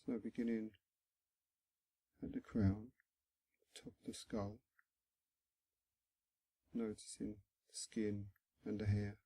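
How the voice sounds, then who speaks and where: Male meditation guide, gentle and very unhurried, with a creaky, mellow voice in a close, dry recording. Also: lowish voice, monotone, no room sound, poor audio. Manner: gentle, instructional, with frequent pauses between short phrases. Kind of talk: guided body scan meditation.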